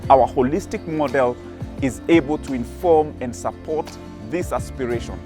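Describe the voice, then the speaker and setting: A man's voice: medium pitch, music in the background. Earnest man explaining his charity's work. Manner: earnest, measured, with fillers. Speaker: charity program staff. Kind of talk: interview soundbites.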